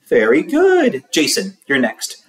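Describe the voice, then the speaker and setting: A man voicing a cheerful, quirky woman schoolteacher in a high, sing-song storybook voice. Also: huge pitch swings, takes it slowly, exaggerated voice. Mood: cheerful, bossy.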